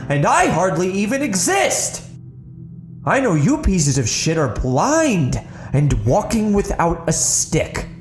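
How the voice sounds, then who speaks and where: A man's voice: sits high, pitched above the performer's own voice, heavily acted. A man voicing a bitter, unhinged male prisoner in a dramatic audio book, with erratic, mocking emphasis. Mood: raging, bitter.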